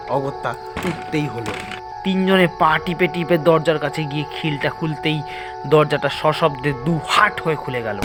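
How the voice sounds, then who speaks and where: A man narrating a scripted first-person horror story in a dramatic, suspenseful way. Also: fairly high pitch.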